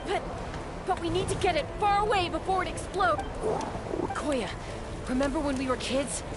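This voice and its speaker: Woman as a high-voiced, brash young gunslinger. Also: heavy background noise, close to an everyday voice. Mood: reassuring. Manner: casual, confident, urgent. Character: bold young female gunslinger peacekeeper, brash but caring. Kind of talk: video game voice acting. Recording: loud music behind the voice. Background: loud game music.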